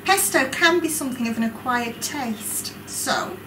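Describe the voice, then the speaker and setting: Woman showing how to cook, slow and clear, bit high voice, echoey kitchen with pans clanking. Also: far from the mic. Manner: calm, clear, instructive. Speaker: cooking instructor. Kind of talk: step-by-step cooking demonstration. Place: large college kitchen classroom, indoors. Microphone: distant camera mic. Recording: echoey room, voice from afar. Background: kitchen clatter and room noise.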